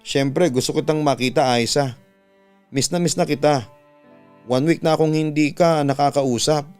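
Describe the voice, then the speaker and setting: A man's voice: a little high, steady tone, normal speed, darkish tone, clean tone, soft background music, natural voice. A man voicing a lovesick young man pleading with his ex in a dramatic narrated story. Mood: pleading, hurt.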